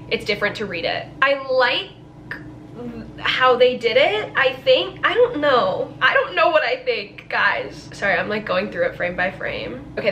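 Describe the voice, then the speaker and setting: A woman in her twenties giving a casual, expressive, informal vlog reaction with lots of "like". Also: a little high, a little hiss or hum. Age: 20s. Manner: expressive, informal, filler-heavy "like". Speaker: book vlogger. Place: small bedroom, indoors.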